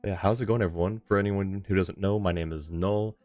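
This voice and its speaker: Deep-voiced man hosting a gaming stream. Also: steady tone, fairly quick, darkish tone, no echo, faint music behind. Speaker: livestream host. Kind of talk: casual gaming stream chat.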